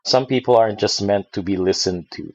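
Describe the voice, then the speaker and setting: Low-voiced opinionated man, muffled. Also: fairly quick, a little mellow, no room sound. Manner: opinionated, puts on mocking voices for quotes. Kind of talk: casual chat.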